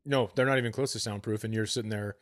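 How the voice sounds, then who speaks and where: A man's voice: on the low side, fairly even intonation, fast. A middle-aged man co-hosting a comedy podcast, telling stories in a casual, joking, sometimes profane way. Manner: profane, storytelling.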